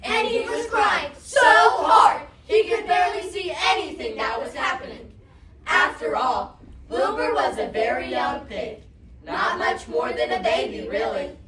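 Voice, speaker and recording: A young female narrator performing a storybook scene on stage, expressive and emphatic in her delivery. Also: high voice, unhurried, over a noisy crowd. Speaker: student reader's theatre narrator. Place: competition performance room indoors. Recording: echoey room, distant mic, audience noise.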